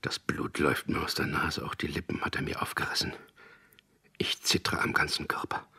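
An actor in a radio drama playing an anguished, bitter condemned man in his fifties, with a gravelly, often breathy voice and intense delivery. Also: very deep voice, level tone, a little fast, sharp tone, whispered, full character voice. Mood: anguished, haunted. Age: 50s. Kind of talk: radio drama acting, dialogue and intimate inner monologue.

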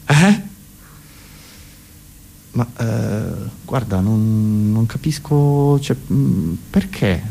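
A man's voice: fairly high pitch, wildly swooping pitch, a little slow, a little hiss or hum, voice pushed up. A man voicing a baffled friend who stammers hesitantly and awkwardly dismisses a wild idea in a comedy monologue. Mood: baffled, incredulous.

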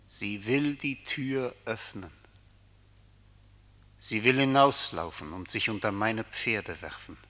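An actor playing a menacing, cruelly mocking night rider, declaiming tauntingly in a reverberant radio play. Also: male voice, lowish voice, bright, forceful tone. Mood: accusing, relentless. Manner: declamatory, taunting, deliberate phrasing. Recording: long hall reverb.

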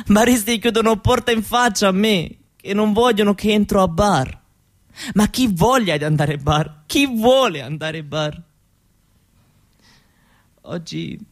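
An actress playing a weary, bitter Roma mother who begs, speaking halting Italian with a Roma accent in a theatrical monologue. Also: medium pitch, close to an everyday voice. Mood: bitter, indignant. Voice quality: broken, foreign-sounding Italian. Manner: slow, repetitive, halting.

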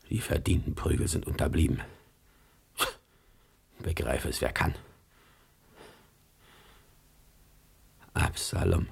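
A man's voice: a bass voice, creaky, darkish tone, breathy edge, exaggerated voice. An actor playing a tyrannical, choleric middle-aged Prussian king in a German radio play, with an imperious, blustering manner. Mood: brooding, bitter.

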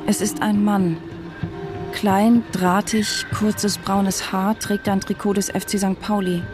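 Actress playing suspicious wife narrating a radio thriller, medium pitch, terse n observant, music behind her. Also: little pitch movement, takes it slowly, close to an everyday voice. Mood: watchful, tense. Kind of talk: radio drama narration and dialogue. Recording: music under the voice.

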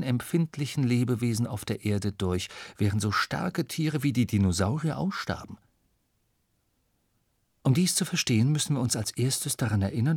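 A man narrating a children's science audiobook in a dramatic storytelling style, with deliberate pauses and emphasis. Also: mid-range voice, no room sound, clean studio sound. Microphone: close studio microphone, dry.

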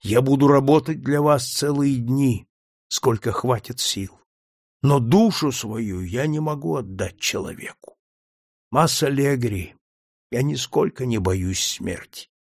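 A man voicing a calm, faithful male slave who speaks quietly and solemnly despite a beating. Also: mid-range voice, moderate pace, vocal fry, hardly any pauses, husky, no echo, clean studio sound. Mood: calm resolve.

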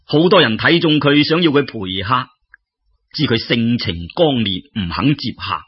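Man voicing a genial, worldly merchant, husky. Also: medium pitch, lo-fi sound. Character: genial, worldly travelling merchant, generous older friend.

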